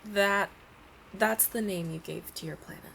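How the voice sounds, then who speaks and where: A woman's voice: mid-range voice, measured pace, creaky, fairly bright tone, slight background noise. A woman playing a playful, pleading young alien princess at college in an ASMR roleplay, chatting and coaxing the listener directly. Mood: apologetic, earnest.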